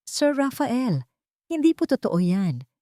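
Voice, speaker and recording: A woman's voice: fairly high pitch, sing-song, slow, warm tone, dry recording, clean studio sound, pitched above the performer's own voice. A woman voicing a loyal, earnest, pleading housemaid in an audio drama.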